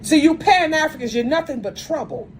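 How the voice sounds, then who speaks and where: A fairly high-pitched woman delivers a heated rant into the camera. She is blunt and confrontational, repeating herself and tripping over restarts as she presses her point. A faint hiss of noise sits under her voice. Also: lively intonation, fairly quick. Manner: says she has a strong voice. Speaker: video commentator. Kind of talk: angry rant addressed to camera.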